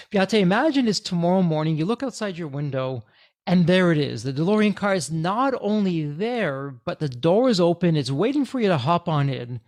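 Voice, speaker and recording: A male podcast host with a somewhat high, gravelly voice leads his guest through questions with upbeat, theatrical setups. He sounds friendly and drives the conversation forward. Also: a little mellow. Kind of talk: podcast interview.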